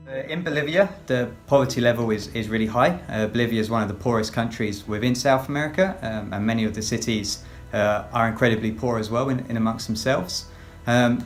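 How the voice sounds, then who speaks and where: English man speaking in measured tones. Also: medium pitch. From England, West Sussex. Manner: measured, with frequent fillers. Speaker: children's pastor. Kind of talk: recorded personal update.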